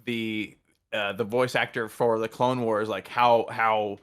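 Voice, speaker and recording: A man's voice: on the low side, flat delivery, a bit bright, clean tone. Rambling American man chatting. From United States. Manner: rambling, halting, enthusiastic with repeated words. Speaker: podcast co-host. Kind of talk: casual chat about a TV show.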